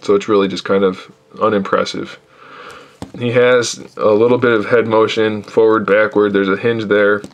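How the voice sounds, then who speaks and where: A man reviewing an action figure in a casual, critical way, sounding tired and a little hoarse from losing his voice. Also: fairly low pitch, lo-fi sound. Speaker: toy reviewer. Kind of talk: casual product review, off-camera voice.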